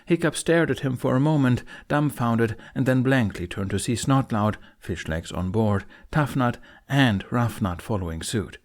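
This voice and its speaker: A man's voice: mid-range voice, lively intonation, normal speed, hardly any pauses. A man reading a fantasy audiobook as narrator, in dramatic scripted narration.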